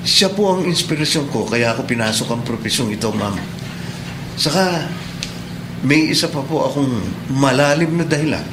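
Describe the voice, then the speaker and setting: A man's voice: mid-range voice, moderate pace, pauses often, natural voice. A man playing a respectful, earnest young police cadet in a Philippine radio drama, speaking politely with a Filipino accent. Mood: earnest, heartfelt. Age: young adult. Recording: echoey room, clear background noise.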